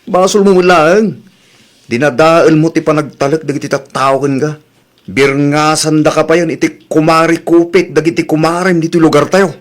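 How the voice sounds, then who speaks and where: A man's voice: on the high side, fairly even intonation, moderate pace, lightly acted. A man playing an exasperated, blaming husband who nags and reproaches in a comedy drama.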